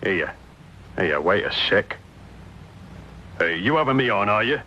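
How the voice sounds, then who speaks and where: An actor playing a blustering, baffled London police sergeant in a radio drama. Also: male voice, fairly low pitch, lively intonation, measured pace, pushed and bright, full character voice. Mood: gruff. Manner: blustering, plain-spoken. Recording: dull lo-fi old radio recording.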